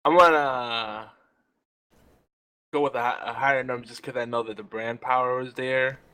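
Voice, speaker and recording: A man's voice: mid-range voice, fairly even intonation, moderate pace, bright, forceful tone, smooth. A middle-aged man chatting casually as a panelist and player on a talk show. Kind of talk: casual chat.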